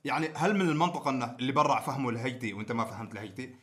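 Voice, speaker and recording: Omani male podcast co-host, casual debate, very rapid delivery, mid-pitched voice. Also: animated, fairly bright tone. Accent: Omani Arabic. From Oman.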